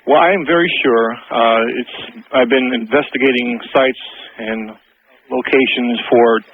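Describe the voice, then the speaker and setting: A man's voice: medium pitch, fairly even intonation, measured pace. A middle-aged American man answering interview questions as a guest investigator over the telephone. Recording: tinny phone line with hiss.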